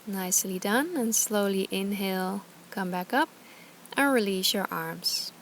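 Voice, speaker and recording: Woman, yoga teacher, calm, gentle, soothing, short guiding phrases, medium pitch, light background ambience. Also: unhurried, slight background noise. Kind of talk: guided yoga instruction.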